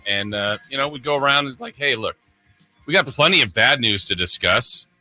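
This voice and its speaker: A man's voice: medium pitch, animated, fairly quick, sharp tone, no room sound, soft background music. A man hosting a sports radio show in casual, chatty and enthusiastic talk.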